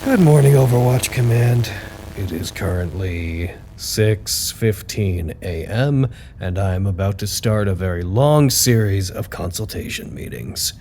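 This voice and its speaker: An actor playing a weary, earnest staffer who dictates a dry, self-deprecating work log in an audio drama. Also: male voice, on the low side, animated, a little slow, dark tone, slight background noise. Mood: wry, weary.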